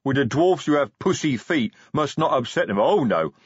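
A hulking male troll sergeant, gruff and blunt, bristling with defiance and mangling his grammar, as a male narrator puts him on. The voice rises and falls with lively swings. The recording is dry and a little muffled. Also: mid-range voice, moderate pace, no echo, pitched above the performer's own voice. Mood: angry. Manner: broken grammar, defensive and forceful. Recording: dry, slightly dull recording.

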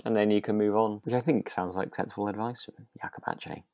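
A woman co-hosting a relaxed podcast chat, speaking in a tentative, conversational way. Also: very deep voice, fast talker, no room sound, studio quality. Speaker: podcast co-host. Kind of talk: relaxed chat discussing a radio drama.

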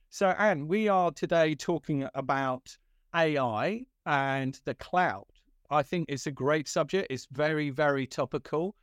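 A man's voice: medium pitch, dry recording, studio quality. A man in his fifties hosting a podcast interview, friendly and rambling, with stammered restarts and chuckles. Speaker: podcast host.

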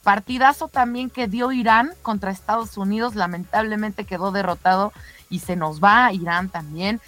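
A woman's voice: medium pitch, normal speed, a bit bright. A woman co-hosting a radio show, giving sports news commentary in a conversational, emphatic way. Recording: music bed under the voice. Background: music.

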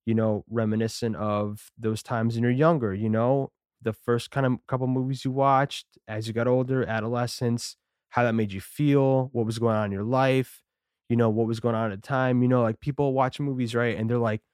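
A man's voice: mid-range voice, steady tone, moderate pace, darkish tone, smooth, no echo, clean studio sound. A man in his thirties co-hosting a casual podcast, talking in a laid-back, rambling way with lots of fillers. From Connecticut, USA. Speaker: podcast co-host. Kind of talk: casual chat.

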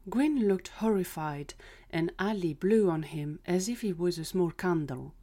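A woman's voice: lowish voice, measured pace, warm tone. A woman reading scripted third-person narration for an audio drama.

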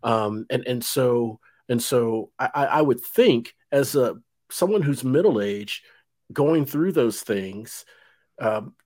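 A man's voice: medium pitch, slow pace, darkish tone. A man in his fifties hosting an interview podcast, talking in a rambling way with many fillers and restarts. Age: 50s. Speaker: podcast host. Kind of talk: casual interview chat.

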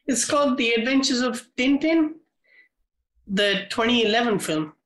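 A man's voice: very high-pitched, animated, moderate pace, fairly bright tone, roomy sound. A man co-hosting a film podcast, rambling through casual chat with lots of filler words. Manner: rambling, many "like" fillers, laughs often. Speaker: podcast co-host.

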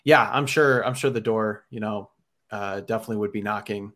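A man's voice: fairly low pitch, a little fast, a bit bright. A man hosting a casual sports podcast, friendly and easygoing. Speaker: podcast host.

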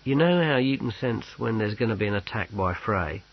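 A man voicing a practical, patient army sergeant in an audiobook story, wary and deliberate. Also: on the low side, animated, muffled recording. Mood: uneasy, hushed.

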